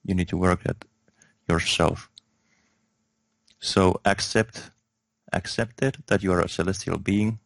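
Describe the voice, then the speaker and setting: A man from Finland, a guest in a spiritual interview, speaking slowly and hesitantly with many fillers and restarts. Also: low-pitched, steady tone, gravelly, no echo.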